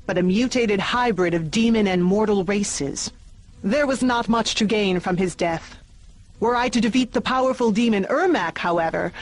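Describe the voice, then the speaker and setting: A woman voicing a determined female demon warrior in a video game cutscene, formal and measured. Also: mid-range voice, a little hiss or hum, close to an everyday voice. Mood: resolute. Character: solemn, determined female demon swordswoman seeking purity.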